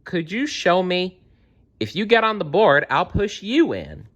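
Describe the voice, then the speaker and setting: A clever little girl from a fairy tale, put on by a man reading aloud. The voice is raised high and lively, with an innocent, questioning manner. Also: unhurried, exaggerated voice. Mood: innocent, sly. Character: young girl Gretel, innocent-sounding and quietly clever. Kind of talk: storybook character voice in a read-aloud.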